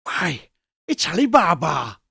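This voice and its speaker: Giddy old miser from a storybook, voice way up high and all over the place, gushing like a kid. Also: male voice, huge pitch swings, takes it slowly, vocal fry, bright, forceful tone, slightly rough, no room sound, studio quality, exaggerated voice. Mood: ecstatic, nostalgic. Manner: exclamatory, gushing.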